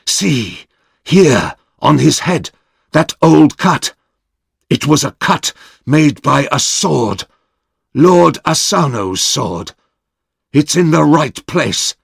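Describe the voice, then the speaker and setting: Guy putting on a stern samurai chief, loud and high-pitched, barking orders, dry sound. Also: lively intonation, takes it slowly, gravelly, husky, dry recording, exaggerated voice. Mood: grave. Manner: urgent, raised shouting voice. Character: stern samurai leader, grown man, commanding.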